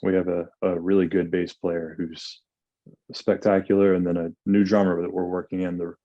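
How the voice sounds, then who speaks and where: Deep, flat-voiced man on a dull line. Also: normal speed, no echo. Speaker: guitarist guest. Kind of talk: self-introduction on a panel. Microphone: video call.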